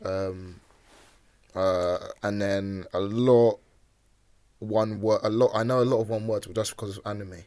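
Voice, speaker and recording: A young man co-hosting a podcast in casual chat, easygoing and hesitant, on a boom-arm mic in a small home room. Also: fairly even intonation, a little slow, darkish tone. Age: young adult. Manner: easygoing, agreeable, hesitant with many ums. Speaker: podcast co-host. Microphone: studio mic on boom arm.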